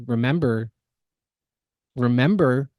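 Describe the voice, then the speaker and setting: Male podcast co-host, analytical and opinionated critic, middling pitch, dry studio-like sound with no room echo. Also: takes it slowly, a little mellow. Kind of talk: critical reaction commentary.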